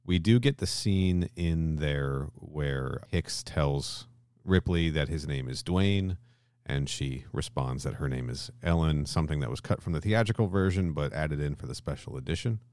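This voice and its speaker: A man's voice: a bass voice, moderate pace, darkish tone, clean tone, no room sound, studio quality. A man co-hosting a podcast in a casual discussion style, swearing freely and often checking in with questions. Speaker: podcast co-host.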